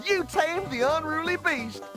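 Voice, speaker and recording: A woman's voice: very high voice, lively intonation, a little slow, over music. A woman playing a folksy, showy Wild West bronco wrangler with an American Western accent in a cartoon game. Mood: folksy, impressed. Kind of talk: animated game cutscene acting.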